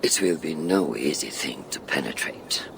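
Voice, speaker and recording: A man playing a shrewd, secretive ally in a video game, speaking formally and deliberately in a deep gravelly voice. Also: lively intonation, background noise, full character voice. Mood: conspiratorial. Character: calm, secretive male conspirator-ally, measured and shrewd.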